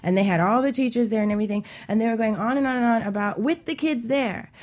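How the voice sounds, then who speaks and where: Fast-talking, outspoken woman. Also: a little high, a little mellow. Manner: outspoken, emphatic, repeats phrases for stress. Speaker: guest, homeschooling mother. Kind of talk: casual, candid radio interview talk.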